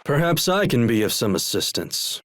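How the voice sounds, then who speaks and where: An actor playing a haughty, scheming noble officer in a video game, with a smug, mannered menace. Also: male voice, medium pitch, big pitch swings, normal speed, fairly bright tone. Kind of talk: dramatic video game voice acting. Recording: music behind the voice.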